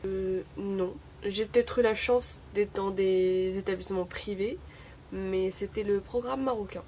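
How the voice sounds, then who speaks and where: A woman's voice: mid-range voice, a little slow, a little mellow, slight background noise. A young woman from Morocco, Arabic-speaking by birth, chatting wryly to camera with many hesitations. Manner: wry, chatty, full of hesitations. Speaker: vlogger. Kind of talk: casual chat to camera.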